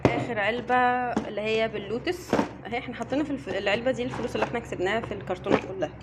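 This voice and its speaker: A woman with an Egyptian Arabic accent talks quickly through a casual home unboxing. Her voice sits a bit high and she sounds a little weary. There is clear background noise in the recording. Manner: chatty, weary. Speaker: family vlogger.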